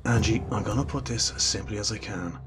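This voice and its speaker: A man's voice: on the low side, brisk, music in the background, natural voice. A man playing a grave, theatrical devil in a radio drama, prone to dramatic speeches of doom. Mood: grave, ominous. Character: male devil, dramatic doom-preaching lover of an angel.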